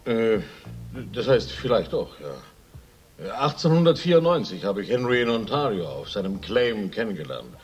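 An actor playing a bulky, evasive middle-aged racehorse owner and former miner in a radio crime drama, hesitant and self-justifying. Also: male voice, on the low side, very animated, husky, soft background music. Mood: hesitant, chatty. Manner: hesitant, halting, self-justifying, with stumbling fillers.

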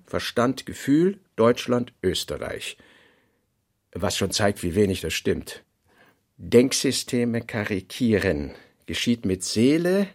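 A man reading scripted literary notes for a radio play, in measured, fragmentary phrases. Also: mid-range voice. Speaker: reader of a writer's working notes.

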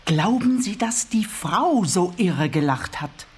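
A woman's voice: medium pitch, animated, takes it slowly, husky, heavily acted. An actress playing an eccentric, fussy woman client in a radio crime comedy, pedantic and dramatic in manner. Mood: pedantic, conspiratorial.

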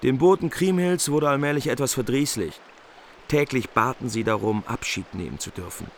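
A man narrating a medieval epic in a German radio play, composed and clear in his storytelling. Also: medium pitch, animated, moderate pace, a little hiss or hum, lightly acted.

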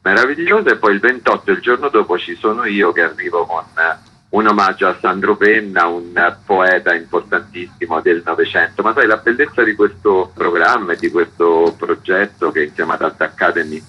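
Deep husky-voiced man, interviewed on radio. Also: normal speed. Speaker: theatre artistic director interviewed as guest. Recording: muffled radio broadcast.

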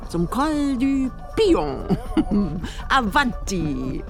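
A woman's voice: medium pitch, very animated, extremely slow, soft background music. A woman playing an eccentric, imperious old mother in a radio play, stubborn and theatrical in manner. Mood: amused, needling. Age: older. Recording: clear background noise, outdoor ambience.

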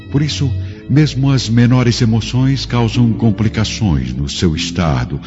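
A man narrating a radio drama in a solemn, literary, dramatic style. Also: sits low. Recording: dull lo-fi radio drama, music behind.

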